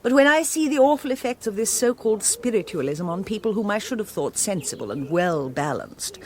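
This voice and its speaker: A woman's voice: medium pitch, lively intonation, a little fast, slight background noise, natural voice. Actress as a haughty upper-class English society queen. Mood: icy disdain. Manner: affected, drawling, falsely sympathetic grandeur. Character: haughty middle-aged society queen, grand and cuttingly condescending. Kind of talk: British radio comedy acting.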